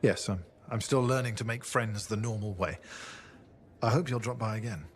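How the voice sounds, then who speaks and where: A man plays a haunted defector spy in a video game. His voice is fairly low and his manner measured and reflective. Faint noise sits behind the earnest, grave delivery. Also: natural voice. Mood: haunted, faltering. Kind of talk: video game dramatic acting.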